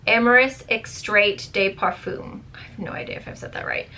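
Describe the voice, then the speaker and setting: A woman talks casually to her camera about beauty products, rambling and leaning on fillers. Her voice is bright and a little sharp, but strained and on the edge of hoarseness. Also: medium pitch, normal speed. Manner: chatty, rambling, many fillers. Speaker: beauty vlogger.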